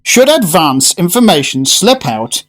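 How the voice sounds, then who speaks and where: Guy doing knowing gourmet gentleman in radio drama, earnest, formal, very lively intonation. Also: high voice, full character voice. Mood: reverent. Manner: formal, emphatic, deliberate phrasing. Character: male gourmet connoisseur, reverent, knowing, fussily earnest.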